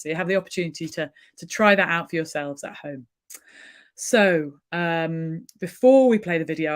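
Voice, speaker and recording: A woman facilitating an online wellbeing session, talking gently with frequent ums and restarts. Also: fairly low pitch. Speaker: workshop facilitator.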